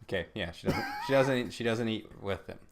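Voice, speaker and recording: A man's voice: lowish voice, brisk, noisy background. A man co-hosting a podcast, bantering casually and joking with mock-naive questions. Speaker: podcast co-host.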